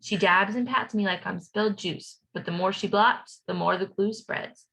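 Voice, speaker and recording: A woman reading a children's storybook aloud as a playful young schoolboy narrator, expressive and rhythmic. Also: medium pitch, moderate pace, vocal fry, slightly rough, rough recording. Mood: dramatic.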